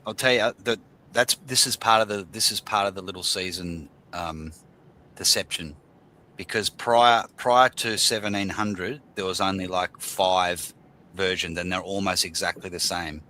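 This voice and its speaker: A man on a video call explaining a theory to a panel with halting restarts. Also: deep voice, sharp tone. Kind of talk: casual panel discussion.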